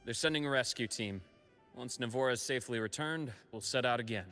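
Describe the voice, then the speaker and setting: Measured, responsible academy teacher. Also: male voice, mid-range voice, bright, forceful tone, faint music behind. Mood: cautious, steady. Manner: measured, matter-of-fact. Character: calm, responsible adult male academy teacher. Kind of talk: video game voice acting.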